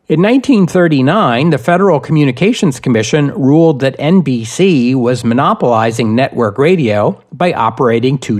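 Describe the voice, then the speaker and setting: Man narrating history, measured and deliberate. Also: medium pitch, a little mellow. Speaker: history podcast host and narrator.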